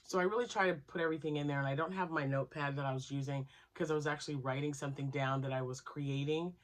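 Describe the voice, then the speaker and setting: A woman's voice: low voice, steady tone, rapid-fire. A woman hosting her crochet channel, chatting casually and rambling with asides, still nasal from a cold. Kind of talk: casual chat to camera.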